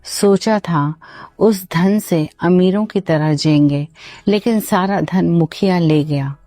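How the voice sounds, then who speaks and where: Slow, scornful nagging wife played by a woman. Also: on the low side, warm tone, dry recording, clean studio sound, soft background music. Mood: scornful, bitter. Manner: scolding, deliberate. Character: greedy, nagging village wife, bitter and scornful. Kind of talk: cartoon story voice acting.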